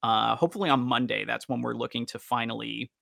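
A man's voice: mid-range voice, steady tone, fast, gravelly, bright, forceful tone, no echo, clean studio sound. A man hosting a casual podcast, talking in wordy, roundabout and slightly formal phrases. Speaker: podcast host.

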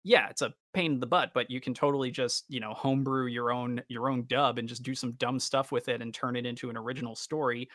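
A man's voice: medium pitch, steady tone, fast, few pauses, a bit bright, dry recording. Guy hosting a casual podcast, mid-range voice, into it, really dry sound. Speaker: podcast host. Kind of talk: casual chat about anime fandom.

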